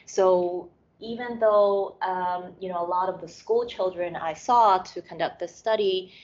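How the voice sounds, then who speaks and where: Softly pitched woman lecturing, muffled audio. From Hong Kong. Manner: measured, many fillers and restarts. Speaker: academic speaker. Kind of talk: lecture talk with slides.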